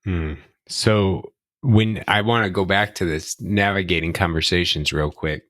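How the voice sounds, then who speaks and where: Deep-voiced male co-host; calm, measured interviewer, dry close sound. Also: no echo. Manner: measured, friendly questioning. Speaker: podcast co-host. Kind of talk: casual interview conversation.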